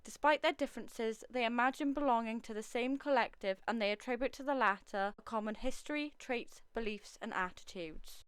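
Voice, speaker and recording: A woman's voice: a little high, a little fast, few pauses, clean tone, no room sound. A woman narrating a documentary from a script in a formal, academic manner.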